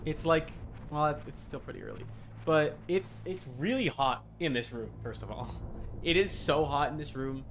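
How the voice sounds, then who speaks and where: A man's voice: fairly high pitch, normal speed, a bit bright, slight background noise. Rambling male streamer thinking aloud. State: tired. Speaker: streamer, VTuber. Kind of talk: casual live game stream commentary.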